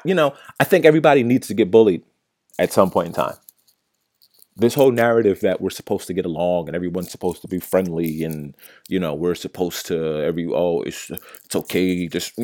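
A man's voice: low voice, a little mellow, no echo. A young man hosting a casual solo podcast, talking bluntly and with a lot of swearing. He stutters at times and keeps ending his points with "right?". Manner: often ends points with "right?". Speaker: podcast host.

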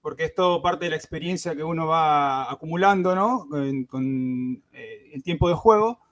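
A man's voice: a little high, normal speed. A man hosting a gaming podcast, talking casually with lots of fillers and restarts. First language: Spanish. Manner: hesitant, many fillers and restarts. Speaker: podcast host.

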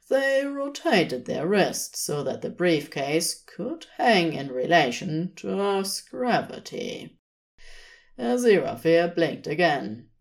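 A woman narrates scripted fiction at a slow, measured pace. Her voice sits a little low and moves with lively variation in pitch. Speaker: podfic narrator.

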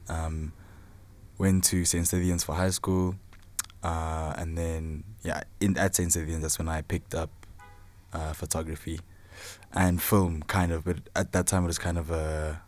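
Low, even-toned young South African man. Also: a little slow. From Zimbabwe and South Africa. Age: 20s. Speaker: podcast guest, film student and photographer. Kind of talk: casual personal interview.

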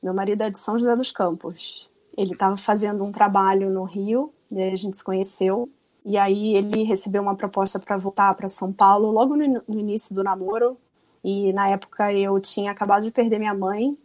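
A woman's voice: mid-range voice, fairly even intonation, a little fast, a little mellow, poor audio. Carioca lady in her 40s, chatty guest, laughs a lot, pokes fun at herself. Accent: Carioca Brazilian Portuguese. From Rio de Janeiro, Brazil. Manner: chatty, laughs often, self-deprecating. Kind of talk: casual interview chat.

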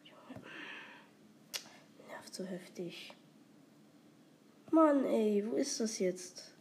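Eine erkältete Frau, die als Host eines Gaming-Podcasts locker und aufgeregt über ihr Spiel erzählt, mit vielen Füllwörtern. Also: female voice, medium pitch, drawn-out words, a little hiss or hum. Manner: excited, rambling, many fillers. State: has a cold. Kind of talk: casual gaming vlog chat.

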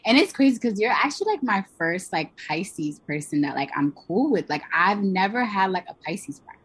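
A talkative young woman from Pennsylvania co-hosts a podcast, bubbly and quick to giggle. Her voice is a little husky and sits at a middling pitch. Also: sing-song, no echo. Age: 20s. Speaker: podcast co-host. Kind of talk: casual podcast chat.

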